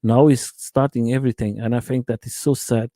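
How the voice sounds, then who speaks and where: A man's voice: medium pitch, fairly even intonation, mellow, no echo, clean studio sound. Man hosting a live chat. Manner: halting non-native English, many uh fillers. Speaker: channel host interviewer. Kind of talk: live-stream interview chat.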